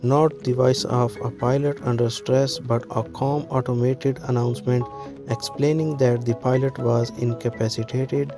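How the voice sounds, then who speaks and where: A man's voice: medium pitch, little pitch movement, moderate pace, over music. A man reading scripted documentary narration as a narrator.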